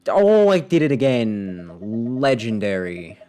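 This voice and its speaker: A man's voice: mid-range voice, big pitch swings, slow pace, dark tone, faint music behind. A man giving casual live commentary as a game streamer, rambling and muttering to himself with playful sarcasm.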